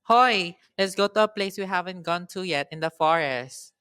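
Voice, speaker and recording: A woman acts out a naughty little storybook boy with a low, bright voice. He is stubborn, whiny and easily spooked, and he sounds crisp. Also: moderate pace, dry recording, clean studio sound, close to an everyday voice. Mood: brash, dismissive.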